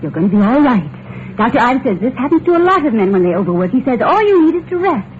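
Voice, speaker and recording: An actress playing an anxious, protective wife in an old-time radio drama, concerned and theatrical in delivery. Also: female voice, a little high, very animated, fast. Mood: soothing, firm. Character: anxious, protective well-off wife doubting her husband's sanity. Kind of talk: 1940s-50s radio drama acting. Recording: dull, noisy old radio recording.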